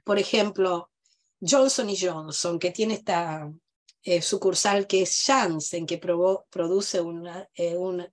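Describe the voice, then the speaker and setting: A woman lecturing over a video call, stressing points and listing examples with pauses. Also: on the low side, fairly even intonation. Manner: emphatic, lists examples with pauses. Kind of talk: academic talk on video call.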